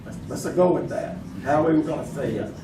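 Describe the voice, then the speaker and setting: A man deliberates out loud as a quiz contestant, hesitant yet with lively intonation. He is a bit away from the microphone in a strongly echoing space. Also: a little high, distant mic. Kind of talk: live quiz banter. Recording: echoey, noisy hall, off mic.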